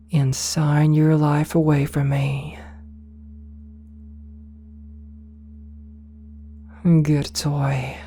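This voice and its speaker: Woman acting a low, breathy, possessive female boss. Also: heavily acted. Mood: teasing, domineering. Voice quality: soft, breathy, often near-whispered. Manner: slow, coaxing, condescendingly affectionate. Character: possessive, seductive rich female CEO, hypnotic and domineering. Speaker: voice actor in an audio roleplay. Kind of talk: ASMR roleplay, intimate slow address to listener.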